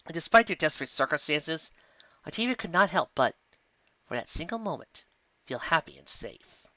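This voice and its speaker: Story narrator, expressive scripted read, lively pitch swings, dry but slightly dull lo-fi recording. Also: moderate pace, no echo. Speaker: fiction narrator.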